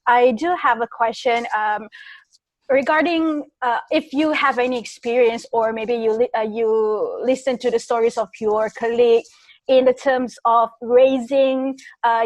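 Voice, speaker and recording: A woman's voice: fairly high pitch, no room sound, rough recording. A woman asking a guest a question on a video call, hesitant and full of "uh". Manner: hesitant, many uhs.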